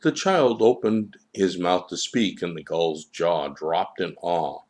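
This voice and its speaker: A man with a low voice narrates a scripted story. He reads it aloud as a storyteller would. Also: takes it slowly, darkish tone.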